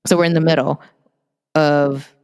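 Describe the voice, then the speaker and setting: A young woman with a low, smooth, resonant voice talks through old family memories in an easy, reflective manner. Her voice rings with a long reverb, as if from a hard-walled room. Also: flat delivery, a little mellow. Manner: reflective, unhurried storytelling. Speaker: podcast co-host. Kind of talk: casual chat.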